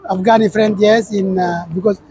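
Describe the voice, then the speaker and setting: Man, from Sudan, interviewer, high voice, mellow tone, halting, friendly. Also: steady tone, husky, no echo. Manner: halting, friendly, restarts often. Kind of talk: casual street interview. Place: busy train station.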